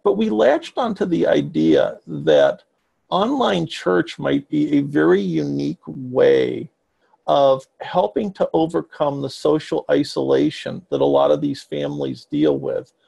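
A man in his fifties, a child psychiatrist and ministry leader, answering podcast interview questions over a webcam call from a home office, in measured, explanatory, long flowing sentences. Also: a little high, very animated, vocal fry, warm tone, breathy edge. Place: home office with bookshelves, indoors. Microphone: webcam video call.

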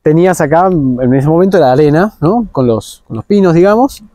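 A man's voice: a little high, expressive, moderate pace, warm tone, dry recording. A man in his thirties with an Argentine Rioplatense accent, asking casual questions as an interviewer. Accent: Argentine Rioplatense Spanish. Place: outdoors in a garden.

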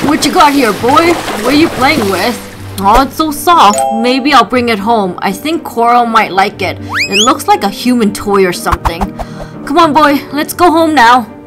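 A woman voicing a friendly, easygoing merman dad who calls out loudly to his pet. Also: fairly high pitch, animated, normal speed, lightly acted. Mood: hearty. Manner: loud, calling out, hearty. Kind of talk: doll play storytelling. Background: children's music.

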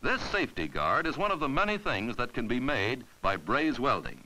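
A man giving scripted instructional narration for a 1950s educational film, patient and clear as he walks through each step. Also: mid-range voice, very animated, moderate pace, pushed and bright, poor audio.

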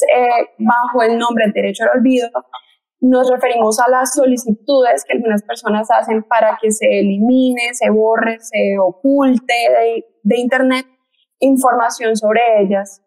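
A woman's voice: fairly high pitch, darkish tone, poor audio. A young woman with a Colombian accent, a lawyer and researcher giving an online lecture over a headset mic, explaining patiently with fillers and restarts. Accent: Colombian Spanish. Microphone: headset mic on a video call.

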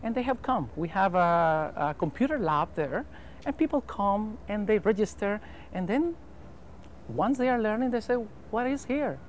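A man's voice: sits high, expressive, normal speed, slight background noise. A man in his thirties from El Salvador, a pastor, speaking English with a Salvadoran Spanish accent and answering interview questions in an earnest, careful way, recorded outdoors on a lapel mic. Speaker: pastor and mission president. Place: outdoor rooftop terrace over a harbor.

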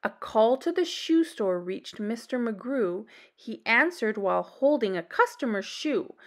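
Animated woman reading a picture book, unhurried. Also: on the high side. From Canada. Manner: animated, deliberate storybook delivery. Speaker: storyteller reading children's books aloud. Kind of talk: expressive picture-book read-aloud.

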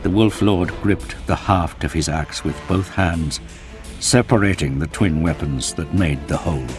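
A man giving dramatic, scripted narration of an action audio drama in a grave, measured storytelling manner. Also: deep voice, over music.

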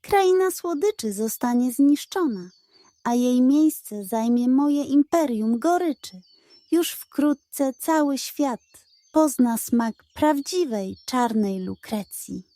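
Woman as a villainous male monster sorcerer, pompous, menacing, blustering, raised pitch, bedtime-story baddie. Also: animated, takes it slowly, warm tone, dry recording.

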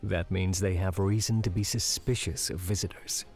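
A man's voice: sits low, steady tone, moderate pace, a little mellow, faint music behind. A man playing a confident, amused seafaring adventurer in a fantasy audio drama, with a theatrical, wry delivery.

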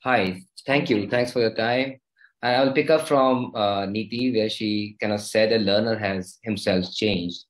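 A man's voice: on the low side, fairly even intonation, unhurried, low-quality recording. A man, speaking as a panelist from a home study, laying out points in emphatic series. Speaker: panelist, HR head. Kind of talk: online panel discussion. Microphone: laptop video call.

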